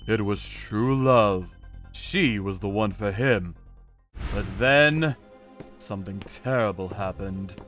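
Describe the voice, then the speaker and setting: Slow, dramatic male storyteller over music. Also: lowish voice, a bit bright. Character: vigilante male torturer, calm, righteous, menacing. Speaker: narrator of an animated manga story, in character. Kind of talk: dramatic first-person scripted narration. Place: studio voice-over with music behind.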